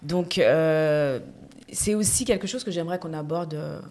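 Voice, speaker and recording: Laughing low-voiced woman in her forties. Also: unhurried, darkish tone. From France. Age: 40s. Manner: laughs often, many fillers. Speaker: podcast guest. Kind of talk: casual round-table chat.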